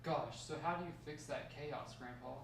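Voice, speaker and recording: Higher-pitched man as a curious young grandson, echoey. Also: normal speed, vocal fry. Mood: incredulous. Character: curious young grandson, eagerly prompting for the story. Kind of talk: table read of a dramatic script. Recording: echoey room, distant mic, faint noise.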